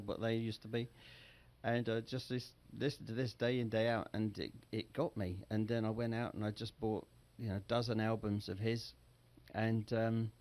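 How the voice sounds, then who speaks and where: A middle-aged English man with an English accent, a singer telling relaxed, chatty stories as an interview guest. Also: lowish voice. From England. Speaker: guest, Sinatra tribute singer. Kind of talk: casual interview storytelling.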